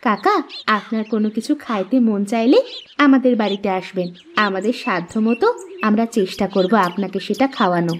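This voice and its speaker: A woman's voice: mid-range voice, fairly quick, a little hiss or hum, close to an everyday voice. A woman playing a kind, hospitable village mother in a fairy-tale cartoon, coaxing and motherly. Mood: caring.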